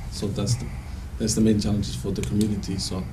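A man from Somaliland with a Somali accent, a panel guest and interpreter speaking haltingly on a studio talk show. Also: on the low side, little pitch movement, far from the mic, background noise. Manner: halting, repeats words while searching. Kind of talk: panel discussion. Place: TV studio, indoors. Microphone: handheld mic.

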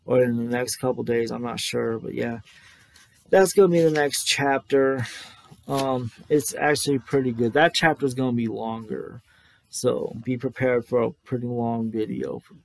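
Tired young guy rambling to the webcam, creaky voice, keeps stopping and repeating himself, pretty dry sound. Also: medium pitch, no echo. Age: young adult. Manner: halting, searching for words, repeating himself. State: tired, little sleep. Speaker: vlogger reviewing a book. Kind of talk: casual rambling commentary to camera. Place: living room indoors.

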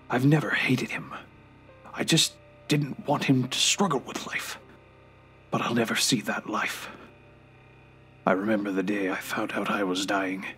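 A man playing a bitter, regretful, dying old grandfather in an audio drama, with a husky, breathy voice and halting delivery. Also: lowish voice. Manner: slow, halting. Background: music.

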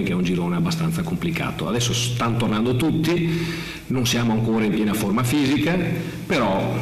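Bearded coach in an echoey press room. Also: male voice, on the low side, slight background noise. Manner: measured, analytical, with frequent restarts. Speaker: basketball coach. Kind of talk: post-game press conference. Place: press room in front of a sponsor wall. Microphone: table mic.

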